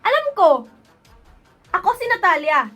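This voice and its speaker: A woman plays a young daughter in an amateur radio drama. Her voice is extremely high and bright, with an earnest, pleading delivery. Faint music runs behind her. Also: big pitch swings, measured pace, exaggerated voice. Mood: tearful pleading. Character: emotional young daughter of a corrupt town mayor.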